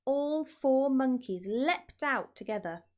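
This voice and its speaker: A woman reading a children's story as narrator, in an expressive scripted style. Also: high voice, takes it slowly. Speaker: storybook reader.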